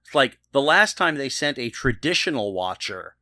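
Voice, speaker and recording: Animated, bright-voiced man with halting delivery. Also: medium pitch, no room sound, studio quality. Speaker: podcast co-host. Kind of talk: casual chat about a TV show.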